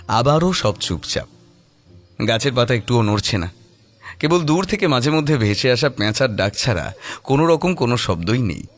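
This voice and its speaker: A man narrating a scripted horror audio story in a suspenseful, dramatic manner. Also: mid-range voice.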